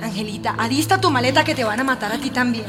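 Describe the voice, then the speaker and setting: An actress playing a frightened, protective aunt in a radio drama, urgent and pleading. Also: female voice, fairly high pitch, fast, over music, lightly acted. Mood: frantic. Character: frantic, protective Colombian aunt urging her niece to flee.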